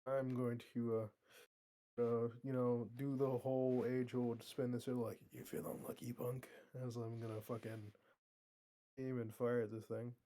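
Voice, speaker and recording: A man's voice: medium pitch, level tone, measured pace, mellow. A man playing in a tabletop game, hesitant and full of fillers, tossing in action-movie lines. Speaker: player. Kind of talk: casual tabletop play.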